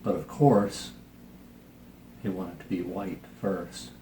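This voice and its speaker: Slow-talking, low-voiced man in a roomy space. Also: steady tone, mellow. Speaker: host reading and reviewing a children's picture book. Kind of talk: casual storybook reading and review chat. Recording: roomy echo, noticeable background hiss.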